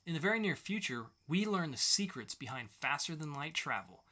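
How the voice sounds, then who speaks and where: Bright-voiced, enthusiastic man co-hosting a podcast. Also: a little high, very animated, a little fast. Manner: enthusiastic, repeats phrases for emphasis. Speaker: podcast co-host. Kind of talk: casual comic book review chat.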